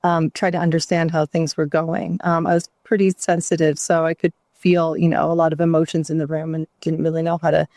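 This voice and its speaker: Woman, middle-aged, from new mexico, reflective interview guest, gentle pauses, dry recording. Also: low-pitched, little pitch movement, no echo. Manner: reflective, gentle, many ums. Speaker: guest, somatic therapist and author. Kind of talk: candid interview conversation.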